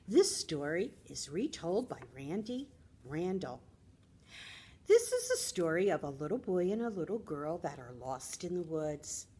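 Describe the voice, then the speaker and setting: Husky, very animated American woman storyteller. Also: sits low. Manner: expressive, teacherly, simple phrasing for children. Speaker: children's librarian presenting books. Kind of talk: storytelling talk for young children.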